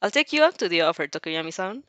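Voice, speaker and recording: Woman playing a candid, lively teenage schoolgirl. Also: lowish voice, fast talker, no room sound, voice pushed down. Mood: cheerful. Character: cheerful, candid teenage girl hero student.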